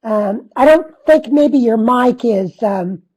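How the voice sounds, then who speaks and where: A woman moderating a video call panel, calling on speakers in a gentle, tentative way. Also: on the high side, expressive, slow, breathy edge, rough recording.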